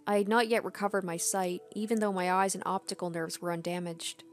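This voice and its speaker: A woman's voice: lowish voice, fairly even intonation, brisk, faint music behind. A young adult woman game streamer reading story narration aloud and adding casual commentary.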